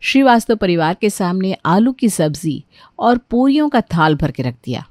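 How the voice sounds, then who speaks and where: A woman's voice: mid-range voice, big pitch swings, moderate pace, a little mellow, no echo, clean studio sound. A woman narrator reading a scripted cartoon story.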